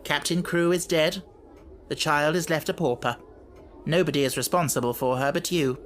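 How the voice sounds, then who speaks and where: A man's voice: on the high side, steady tone, moderate pace, a little hiss or hum, close to an everyday voice. A man playing a shrewd, unruffled businessman with a dry, detached manner in an audiobook story. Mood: dry, blunt. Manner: dry, detached, matter-of-fact.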